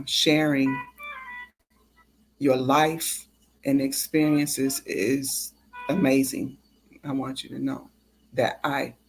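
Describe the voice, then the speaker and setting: A livestream host talking casually and haltingly, with drawn-out pauses between phrases. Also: mid-range voice, low-quality recording.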